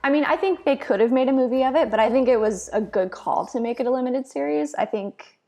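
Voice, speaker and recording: A woman critic talking casually on a panel, pausing to find words and then stressing them. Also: high-pitched, mellow. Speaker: panel guest, entertainment critic. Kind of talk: casual review discussion.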